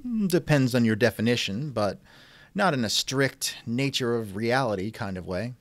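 Male host for chat interview: mid-pitched, very expressive range, wry doubter. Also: moderate pace, dry recording. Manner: wry, skeptical. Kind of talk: reenacted text-chat interview, conversational.

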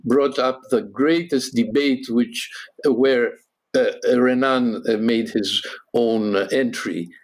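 A man speaking as a guest on a discussion podcast, halting with many fillers and rising sharply in pitch when quoting others. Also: fairly even intonation, low-quality recording. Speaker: guest historian. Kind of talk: animated discussion, podcast panel.

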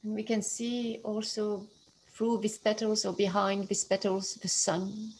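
Woman, meditation guide. Slow, soothing delivery with a flat, steady pitch. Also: rough recording. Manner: slow, soothing, hushed and even.

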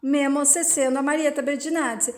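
An easygoing middle-aged rancher, calm and reassuring, read by a woman in her own high voice. He talks plainly and affectionately. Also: fairly quick, lightly acted. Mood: relaxed.

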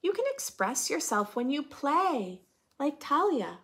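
High-voiced woman with a creaky edge. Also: sing-song, a little slow, fairly bright tone. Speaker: host of a children's read-aloud show. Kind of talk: picture book read-aloud for preschoolers. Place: indoor room with bookshelf and armchair.

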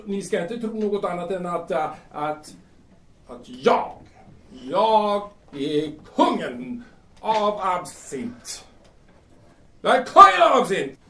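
An actor in an audio drama playing a boastful, cantankerous young absinthe-drinking poet with halting, repetitive, bombastic delivery. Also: male voice, sits high, a bit bright. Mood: drunk, bombastic. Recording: roomy, distant mic, faint noise.

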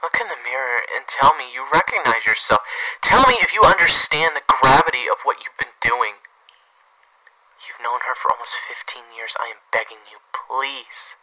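A man's voice: mid-range voice, animated, moderate pace, pushed and bright, low-quality recording. A man playing an earnest, loyal old friend who pleads, in an audio roleplay drama. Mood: pleading.

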